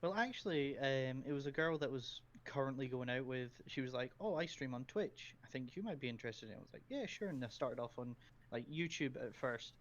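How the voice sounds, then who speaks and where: A man's voice: mid-range voice, lively intonation, brisk, dull audio. A Scottish man chatting as a podcast guest, rambling through stories with lots of ums and giggles. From Scotland. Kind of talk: casual chat, anecdotes.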